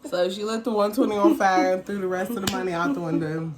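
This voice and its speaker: A woman vlogger telling a personal story in a casual, animated, slangy chat, acting out what people said. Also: medium pitch, fast, background noise. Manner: animated, slangy, candid storytelling with acted-out quotes.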